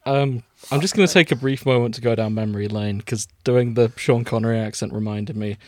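A British man co-hosting a podcast, chatting in a giggly, relaxed way. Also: medium pitch, a little fast, a little hiss or hum. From Britain. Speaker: podcast co-host. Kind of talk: casual chat.

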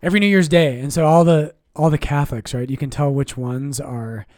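Relaxed priest, dry mic. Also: male voice, on the high side, a little fast, dry recording. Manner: relaxed, explains doctrine with jokes and self-corrections. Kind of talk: casual podcast chat.

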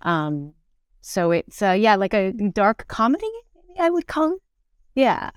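A woman, chatting as an interview guest in halting, reflective phrases. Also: mid-range voice, big pitch swings, clean tone, no echo, clean studio sound. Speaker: actor and singer, podcast guest. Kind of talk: casual interview conversation.

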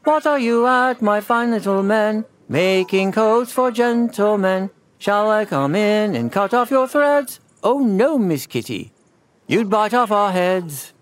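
Casting: male reader as cheeky merry storybook mice, high and animated, chanting nursery rhymes in sing-song. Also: slow. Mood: merry, teasing. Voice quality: small shrill twittering voice. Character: little singing mice, merry, rude and teasing.

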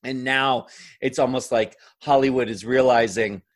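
A man's voice: mid-range voice, steady tone, normal speed. A man speaking as an interview guest in a casual podcast conversation, thinking aloud in a discursive way with frequent filler phrases. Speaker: interview guest, drag performer.

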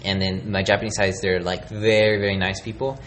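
A man's voice: deep voice, flat delivery, fairly quick, fairly bright tone. A man interviewing someone in a casual conversation, rambling and often trailing off mid-thought.

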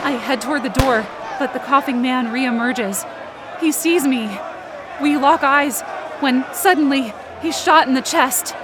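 A woman's voice: high voice, a little slow. A woman playing an anxious, desperate woman who narrates her own ordeal urgently in an audio drama. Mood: alarmed, urgent. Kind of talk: first-person present-tense audio drama narration. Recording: noisy outdoor crowd sound effects behind.

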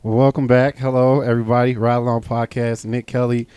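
A man's voice: mid-range voice, level tone, brisk, dark tone, clean tone, dry recording. Teasing man hosting a podcast. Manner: loose, teasing, profane. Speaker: podcast host. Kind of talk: casual podcast chat.

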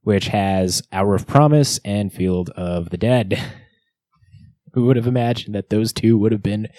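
A man with a lowish, relaxed and mellow voice, co-hosting a podcast. He talks casually and jokes as he goes through a recap. The sound is close and dry. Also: a little slow, no echo. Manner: jokey, bantering. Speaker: podcast co-host.

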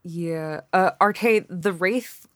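A woman's voice: fairly low pitch, extremely slow, no room sound, studio quality. A woman co-hosting a podcast in casual chat, blunt and informal, with some swearing. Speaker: podcast co-host.

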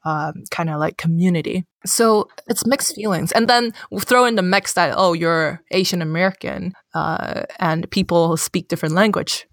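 Soft-spoken woman with a Cantonese accent. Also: on the low side, normal speed, hardly any pauses, no room sound, studio quality. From Hong Kong. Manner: thoughtful, searching for words, frequent fillers. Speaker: therapist. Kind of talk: casual conversation.

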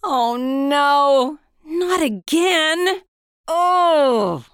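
A woman's voice: high voice, expressive, extremely slow, a bit bright, no room sound, heavily acted. A woman playing an easily flustered, devoted scientist in an audio storybook drama. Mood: distressed.

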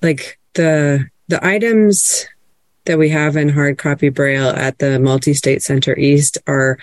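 A woman's voice: deep voice, fairly even intonation, a little slow, dry recording. A woman librarian presenting casually on an online call, chatty, with frequent fillers and restarts.

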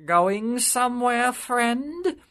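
A man's voice: very high voice, expressive, extremely slow, a bit bright, voice pushed up, heavily acted. A man doing a sly, cheery devil. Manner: cheery, drawling, ingratiating. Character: sly, cheery male devil, smooth-talking.

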